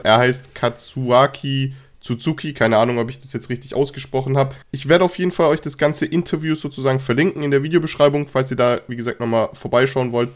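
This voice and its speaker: A young man with a cold and a slightly hoarse voice, chatting casually about game news straight to his viewers. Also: mid-range voice. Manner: chatty, filler-heavy, addresses viewers directly. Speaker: gaming news youtuber.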